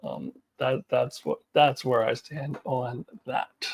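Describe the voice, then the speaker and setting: A woman giving casual, opinionated commentary to viewers as a video host, in a blunt, informal and halting way. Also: a bass voice, steady tone, gravelly, slightly rough.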